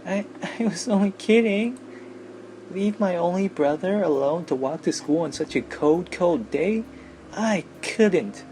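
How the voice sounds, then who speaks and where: A man's voice: high voice, animated, unhurried, dark tone, a little hiss or hum, voice pushed up. A man voicing a bossy, teasing teenage little sister from an anime visual novel, lecturing and scolding. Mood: teasing, sweet.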